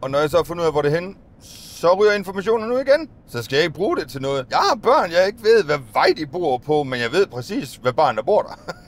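A man's voice: a little high, sing-song. Chatty man rambling from a minibus. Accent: Danish. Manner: rambling, chatty, musing aloud with restarts. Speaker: bus driver vlogger. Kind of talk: casual vlog monologue while driving. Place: inside a minibus, daytime. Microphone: phone or dashcam on the dashboard.

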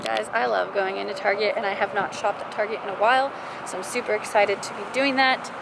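A young woman vlogging casually to the camera, her voice a little hoarse from a cough, chatty and enthusiastic with many fillers. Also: mid-range voice, fairly even intonation, fairly quick, noisy background. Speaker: lifestyle vlogger. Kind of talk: casual vlog chat to camera. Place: parked car, then in a large store and a parking lot. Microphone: camera on dashboard or handheld at arm's length.

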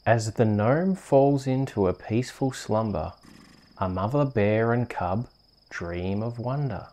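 An Australian man hosting and reading bedtime stories in a soft, soothing, unhurried ASMR storytelling manner. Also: lowish voice. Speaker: host reading bedtime stories on a video channel.

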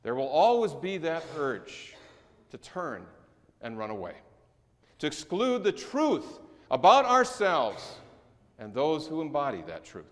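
Middle-aged pastor preaching in a reverberant church. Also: male voice, on the high side, huge pitch swings. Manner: measured preaching with slow emphatic stresses and falling endings. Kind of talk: sermon. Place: large church sanctuary. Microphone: distant camera recording in the sanctuary.

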